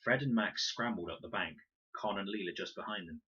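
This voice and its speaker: A man reading a children's adventure story aloud in an expressive, dramatic storytelling manner. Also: low voice, a little fast, husky, dull audio. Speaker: audiobook reader and teacher reading aloud. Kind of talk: animated scripted narration of a children's adventure story.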